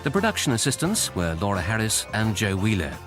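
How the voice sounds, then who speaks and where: A man with a British accent announcing scripted radio credits in a formal, deadpan manner. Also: lowish voice, fairly quick, music in the background.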